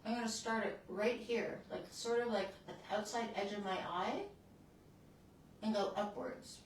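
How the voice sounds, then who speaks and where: A woman's voice: mid-range voice, fairly even intonation, unhurried, heavy reverb, distant mic, slight background noise, poor audio. A woman in her sixties who hosts a beauty channel, chatting casually through a makeup tutorial and often restarting her sentences as she works. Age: 60s.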